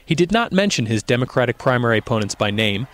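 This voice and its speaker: A man narrating a scripted news report in a measured, even way. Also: medium pitch, dry recording.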